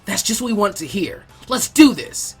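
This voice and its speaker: Guy doing a cocky kid ninja, pitched up, brash anime hero. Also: big pitch swings, moderate pace, faint music behind. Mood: cocky, excited. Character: brash, cocky young boy ninja trainee.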